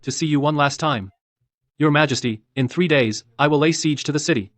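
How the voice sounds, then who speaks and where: A man playing a resolute, principled general in a dubbed motion comic, speaking in measured, terse commands. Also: a little high, fairly bright tone, no room sound, lightly acted. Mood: grave, resolute. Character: resolute male general, stern, principled, quietly defiant.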